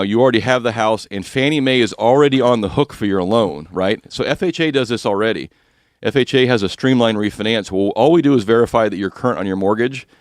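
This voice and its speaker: Husky-voiced man hosting finance radio. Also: fairly low pitch, no echo, studio quality. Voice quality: husky, slightly rough voice. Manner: chatty, explains mortgage rules plainly with asides and jokes. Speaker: radio show host. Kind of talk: casual finance talk radio.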